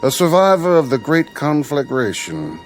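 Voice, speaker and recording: Formal, courteous gentleman. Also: a little high, sing-song, soft background music. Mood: suave, solicitous. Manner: formal, measured, eloquent. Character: courteous, refined gentleman veteran, smoothly solicitous. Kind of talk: radio drama acting.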